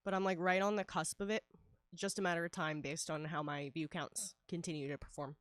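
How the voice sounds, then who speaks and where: Female artist streamer, congested and nasal from a cold, lowish voice, dry mic, chatty and casual. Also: steady tone, a little fast, fairly bright tone, no echo. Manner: chatty, laughs often, many fillers. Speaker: livestreaming artist. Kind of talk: casual streaming chat while drawing.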